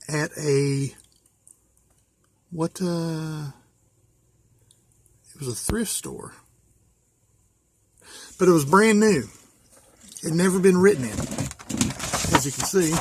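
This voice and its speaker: An American man from West Virginia talking casually and informally as he shows things, pausing to think aloud. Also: fairly high pitch, a bit bright. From West Virginia, USA. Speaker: hobbyist vlogger. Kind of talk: casual show-and-tell.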